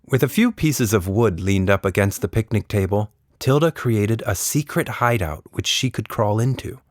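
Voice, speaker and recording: A man's voice: fairly low pitch, lively intonation, moderate pace, no room sound, studio quality. A man narrating a scripted children's story expressively, as a podcast storyteller.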